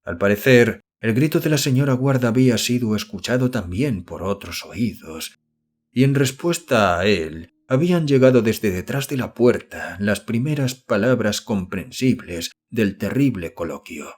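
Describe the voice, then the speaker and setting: A man's voice: lowish voice, lively intonation, measured pace, darkish tone. Man narrating a horror audiobook. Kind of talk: scripted narration of a horror novel.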